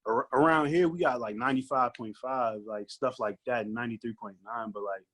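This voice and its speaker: A man's voice: medium pitch, brisk, fairly bright tone. A man speaking as a guest artist in casual panel chat, giving his opinions emphatically. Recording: dull lo-fi audio.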